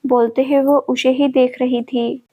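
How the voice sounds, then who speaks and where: A woman narrating a scripted family story at a steady, even reading pace. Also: high voice, a little slow, phone-quality sound.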